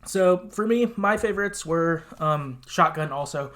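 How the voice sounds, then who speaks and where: A man's voice: on the high side, a little slow, fairly bright tone. A man hosting a casual gaming podcast, talking informally and often qualifying what he says. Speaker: podcast host.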